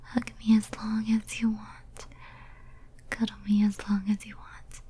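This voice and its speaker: A woman's voice: medium pitch, monotone, a little hiss or hum, close to an everyday voice. A woman playing a lonely, devoted, possessive female demon in an ASMR roleplay, whispering tenderly and intimately. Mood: tender, yearning. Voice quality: soft breathy whisper. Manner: tender, clingy, intimate, slow and halting.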